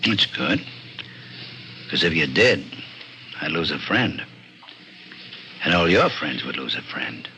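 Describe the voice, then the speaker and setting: Menacing low-voiced gambling boss. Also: male voice, big pitch swings, slow, pauses often, sharp tone, heavily acted, rough recording. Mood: quietly menacing. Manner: slangy, tough underworld talk. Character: menacing male gambling boss, coolly threatening. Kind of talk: 1940s radio crime drama acting. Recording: hissy old radio recording.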